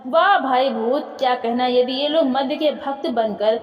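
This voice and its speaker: Imperious demon king voiced by a high-pitched woman. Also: a little slow, muffled recording. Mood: delighted, gloating. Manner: imperious, exclamatory. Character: scornful gloating male demon king of unrighteousness. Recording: echoey room with long reverb.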